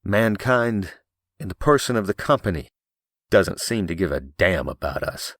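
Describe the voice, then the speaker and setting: Guy doing a defiant blue collar space crewman, low voice, blunt, audiobook, no room sound. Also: sing-song, moderate pace, fairly bright tone, no echo, clean studio sound, full character voice. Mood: blunt defiance. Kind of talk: audiobook character acting.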